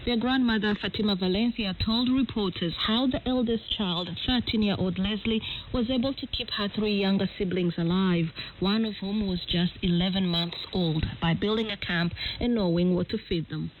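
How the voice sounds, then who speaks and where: A woman's voice: medium pitch, moderate pace, few pauses. Female radio news presenter and interviewer; shortwave sound, band-limited with faint noise. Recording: narrow-band noisy shortwave radio broadcast.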